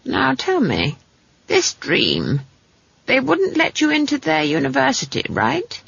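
A woman's voice: sits high, wildly swooping pitch, slow pace, creaky, a bit bright, no echo, dull audio, pitched above the performer's own voice, full character voice. A woman voicing a sour, brusque old country witch in a storybook, wry and knowing. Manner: sour, brusque, cryptic, scolding.

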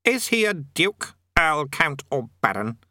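A man's voice: on the high side, sing-song, a little slow, pushed and bright, no echo, clean studio sound, voice pushed up, full character voice. A man voicing an irritable, officious demon king in a storybook, snapping out questions imperiously. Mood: impatient.

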